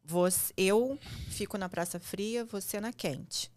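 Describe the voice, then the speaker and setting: A woman's voice: mid-range voice, slow pace, darkish tone, a little hiss or hum. A woman hosting a food podcast, talking in a conversational way and explaining with everyday examples. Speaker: podcast host. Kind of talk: casual podcast interview.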